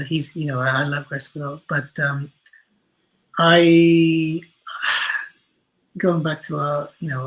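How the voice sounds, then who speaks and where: A man's voice: on the high side, fairly bright tone, breathy edge, rough recording. A man answering interview questions as a writer, talking conversationally and often correcting himself as he goes. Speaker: interview guest, writer for audio and screen.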